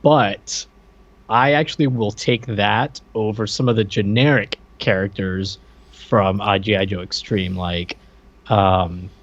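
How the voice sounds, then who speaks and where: A man's voice: fairly low pitch, fairly even intonation, takes it slowly, highs cut off. A man co-hosting a podcast, chatting casually about pop culture in a rambling way, repeating words as he thinks aloud. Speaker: podcast co-host.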